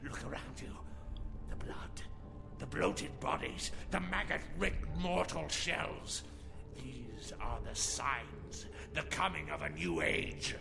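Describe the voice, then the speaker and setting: An actor playing a diseased vampire cultist in a video game, fanatical and ominous, preaching doom in a grandiose, rasping voice. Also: male voice, a little high, expressive, takes it slowly, heavily acted. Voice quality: gravelly, rasping whisper breaking into shouts. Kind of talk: video game villain acting. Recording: long hall reverb with music behind. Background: game music.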